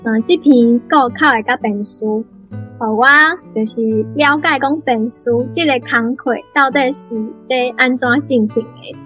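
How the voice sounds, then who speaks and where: A woman with a Taiwanese accent giving prepared, measured commentary on a book in an explanatory way. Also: on the high side, steady tone, faint music behind. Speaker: book club member, guest reader.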